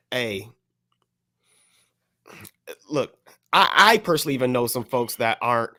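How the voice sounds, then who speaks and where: A man's voice: mid-range voice, fairly bright tone, no echo, clean studio sound. A man on a podcast panel giving opinions in casual discussion, picking his words carefully with hesitant phrasing.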